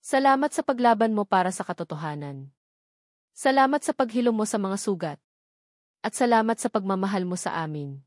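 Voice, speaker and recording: A woman's voice: mid-range voice, expressive, a little fast, darkish tone, no echo, studio quality, close to an everyday voice. A woman with a Filipino accent voicing a loving, grateful mother and bride in a romance story, heartfelt and earnest. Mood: grateful, moved.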